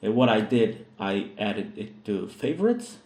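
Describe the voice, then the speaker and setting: Russian-accented man, low and mellow. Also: sing-song, measured pace. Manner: hesitant, many "uh" fillers and "all right?" checks. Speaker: tutorial host. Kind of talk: screen-recorded software tutorial. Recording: echoey room.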